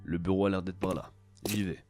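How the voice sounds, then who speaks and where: A man acts out a grudging, sharp-tongued adventurer in an audio drama. The voice sits low and moves quickly, with dry, cynical scorn in the delivery. Faint music plays underneath. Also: little pitch movement, lightly acted. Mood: dry, matter-of-fact. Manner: sardonic, scolding, dry. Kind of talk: French audio fiction acting.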